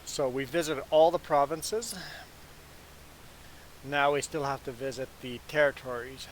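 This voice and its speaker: A man from Canada whose first language is Low German, chatting casually as he hosts a livestream of a farming game. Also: unhurried, low-quality recording. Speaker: livestream gaming host. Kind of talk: casual chat while streaming a farming game. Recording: clear background hiss.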